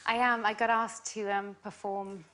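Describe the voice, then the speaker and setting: A young woman with a Welsh accent, chatting as a guest on a talk show, laughing easily and filling pauses with ums. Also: medium pitch, level tone, pushed and bright. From Wales. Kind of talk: casual chat. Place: TV studio with audience.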